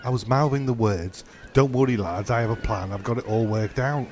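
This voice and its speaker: A man's voice: mid-range voice, a little fast, a little mellow, lightly acted. A man playing an impatient, sweary castaway in a comedy audio drama, blunt and sarcastic. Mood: scheming.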